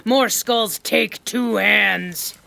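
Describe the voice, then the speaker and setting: An actor playing a gruff, blunt half-orc warrior in an audio drama, menacing and speaking in broken grammar. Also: mid-range voice, very slow, bright, forceful tone, a little hiss or hum, full character voice. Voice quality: gruff, gravelly. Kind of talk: scripted fantasy audio drama acting.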